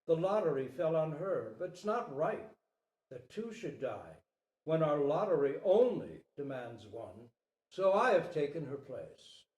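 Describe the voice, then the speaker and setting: A man voicing a noble, selfless deer king in a storybook parable, grave, measured and resolute. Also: fairly high pitch, sing-song, darkish tone. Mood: calm resolve. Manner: solemn, measured, pleading with dignity. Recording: roomy, echoing live recording.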